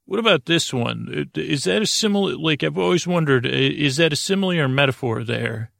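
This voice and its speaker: A man hosting a sleep podcast with a creaky voice, rambling in a halting, meandering way through whimsical tangents. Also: fairly high pitch, animated, fairly bright tone, no room sound, clean studio sound. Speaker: podcast host.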